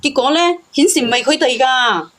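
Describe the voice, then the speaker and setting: A woman's voice: sits high, a little fast, a bit bright. A woman with a Cantonese accent calling in to tell a personal story in a hesitant, defensive, pleading way. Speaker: phone-in caller. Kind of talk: personal confession, casual storytelling.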